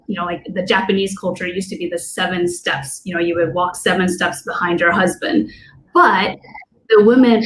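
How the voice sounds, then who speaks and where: A woman born in Taiwan and raised in the US, talking animatedly on a video call panel, her voice leaping up to make a point. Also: rough recording. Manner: animated, pitch jumps up when making a point. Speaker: panel co-host. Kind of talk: casual panel discussion.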